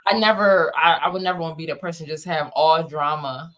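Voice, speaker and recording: A woman's voice: low voice, fairly quick. A woman from Washington, D.C., chatting casually as an interviewed playwright, in an emphatic, rambling conversational way. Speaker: playwright and director being interviewed.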